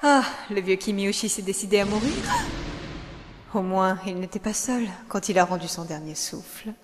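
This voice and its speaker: A woman's voice: mid-range voice, moderate pace, background noise, close to an everyday voice. A woman voicing a cruel, vengeful, unhinged teenage girl villain in a French anime fandub, taunting and theatrically menacing. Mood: cold menace. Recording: reverberant hall sound, clear music behind.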